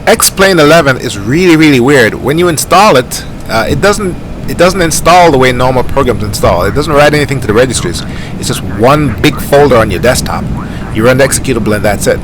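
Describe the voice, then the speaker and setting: A middle-aged man from Jamaica with a light Jamaican accent, chatting casually and rambling with plenty of fillers while flying a simulator. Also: a little fast. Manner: rambling, easygoing, many fillers. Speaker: flight sim streamer. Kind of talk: casual gameplay commentary. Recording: steady aircraft engine rumble behind.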